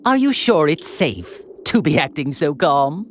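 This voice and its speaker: A man's voice: on the high side, very animated, measured pace, heavy background noise. A man playing a haughty, scornful alien tyrant in a video game, imperious and theatrical. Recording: game music behind.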